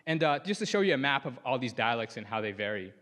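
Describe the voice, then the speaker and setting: A young Sudanese man speaks from a conference stage in a relaxed, chatty way, with plenty of "like" and "you know" fillers. His voice sits at an ordinary middle pitch. He is picked up by a lapel mic. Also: fast talker. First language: Arabic. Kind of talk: tech conference talk.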